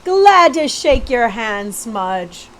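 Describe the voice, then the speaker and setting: A woman's voice: fairly high pitch, big pitch swings, extremely slow, a bit bright, full character voice. A woman voicing a spoiled young prince in a storybook, speaking in cool, brief statements. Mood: cocky.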